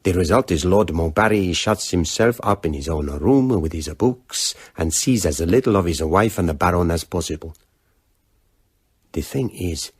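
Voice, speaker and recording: A man playing a wary, gossipy courier in a radio drama, narrating a letter in a measured, confiding way. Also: low-pitched, husky, dry recording, clean studio sound.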